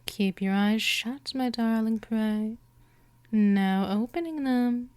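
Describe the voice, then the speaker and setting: A woman playing a gentle but dominant vampire mistress in a scripted hypnosis ASMR roleplay, soothing and teasing, often slipping into whispers. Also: on the high side, slow, clear, resonant tone. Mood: soothing, commanding.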